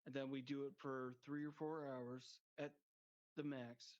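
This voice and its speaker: A man's voice: mid-range voice, little pitch movement, unhurried, a little mellow. A man guesting on a podcast, joking crudely and rambling in casual chat. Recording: dull lo-fi sound.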